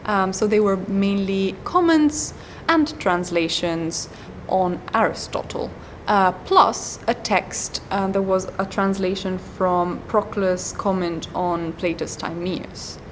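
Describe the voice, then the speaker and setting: A woman guest on an academic interview, explaining at length and pausing often with ums and uhs. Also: medium pitch, a little hiss or hum. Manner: hesitant, many ums and uhs. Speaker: guest scholar.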